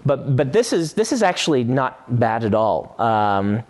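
A man's voice: mid-range voice, big pitch swings, a little slow, a little mellow. A man hosting a podcast and talking casually through a critique as a writer.

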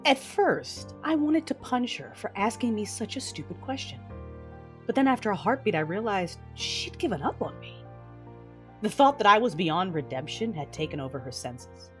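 A woman playing a proud, defiant Sith lord who narrates her own story in a science fiction audio drama, intense and deliberate. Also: medium pitch, big pitch swings, soft background music, natural voice. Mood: cool, wry narration.

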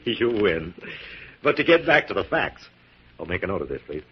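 An actor playing an assured, methodical scientist hero with a measured, authoritative manner, in 1940s radio drama style. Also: male voice, deep voice, sing-song, slight background noise. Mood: businesslike, assured. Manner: crisp dictation, measured, authoritative. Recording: dull, muffled 1940s radio recording.

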